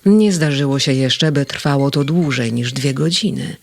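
A reader voicing a gentle, kindly male sandman in a children's audio play, speaking softly and calmly. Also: medium pitch, moderate pace, vocal fry, lightly acted.

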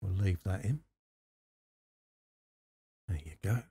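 A man's voice: sits low, slow pace, gravelly, dark tone, slightly rough, no room sound, studio quality. A middle-aged man, chatting informally and unhurriedly through a hands-on hobby tutorial. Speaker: host of a scale model workshop channel. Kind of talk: casual hobby tutorial commentary while working. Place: indoor hobby workbench.

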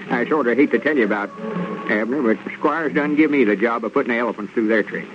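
A man's voice: medium pitch, fairly quick, lightly acted. An actor playing a self-important, coaxing older country man with a rural Southern US accent in a radio comedy. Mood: boastful. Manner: pompous, folksy coaxing. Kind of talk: 1930s radio comedy acting. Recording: dull, noisy old radio recording.

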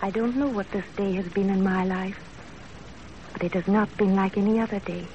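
An actress playing a gentle, fatalistic young woman in love in a 1930s radio drama. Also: medium pitch, steady tone, normal speed, natural voice. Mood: hushed, tender. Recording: noisy, dull 1930s radio recording.

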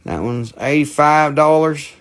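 A man's voice: fairly high pitch, a little slow. A man with a Southern US accent hosting a live mineral sales show, rambling and joking as he holds stones up to the camera. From southern United States. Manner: rambling, joking, cursing, playful bits of put-on accents. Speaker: live-stream mineral seller. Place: indoor room with a table of mineral specimens.

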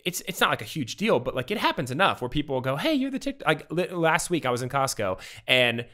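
A man in his thirties, a podcast guest, telling a personal story in casual, animated talk full of fillers and acted-out quotes, on a studio mic at home. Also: a little high, fast. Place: home room with bookshelves. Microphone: studio mic with pop filter, headphones.

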